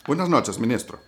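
An actor playing a dutiful, resigned naval lieutenant in a historical audio drama, formal and orderly. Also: male voice, medium pitch, creaky, fairly bright tone, slight background noise, heavily acted.

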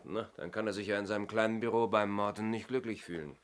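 A man's voice: on the low side, steady tone, quick pace, lightly acted. An actor playing an inspector's observant male assistant in a 1970s radio play, speculating in a dry, wry manner. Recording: noisy old radio play recording.

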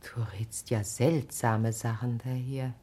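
A perceptive, caring wife in a naturalistic radio drama. Her voice is very deep for a woman. She speaks very slowly and gravely, weighing each earnest, probing question. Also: little pitch movement, mellow, heavily acted. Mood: grave, hushed.

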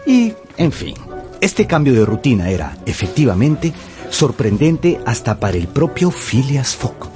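A man's voice: mid-range voice, very animated, unhurried, over music. A man narrating a radio novel as a scripted, dramatic storyteller.

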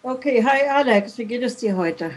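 A woman playing a question game on a podcast, asking quick, brisk questions in a casual way. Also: a little high, animated, breathy edge, low-quality recording. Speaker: podcast guest player.

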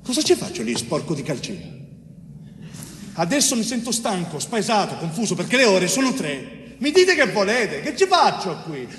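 An actor playing a weary, pleading middle-aged man, wrongly accused, in a halting, emphatic theatrical monologue. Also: high-pitched, wildly swooping pitch, a bit bright, full character voice. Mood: confused, anguished. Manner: emphatic, halting, addresses a judge directly. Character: wrongly accused Sicilian bricklayer, fifty-one, weary, anguished, pleading. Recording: roomy, distant stage recording with hiss.

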